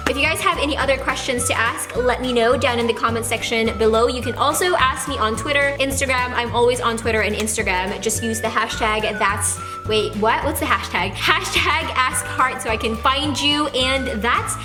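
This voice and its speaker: A woman's voice: fairly high pitch, moderate pace, few pauses, over music. A young woman from the Philippines, a native Tagalog speaker, answering viewer questions in a bubbly, gushing vlog, now and then breaking into a few lines of song. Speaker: beauty vlogger. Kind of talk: casual Q&A vlog.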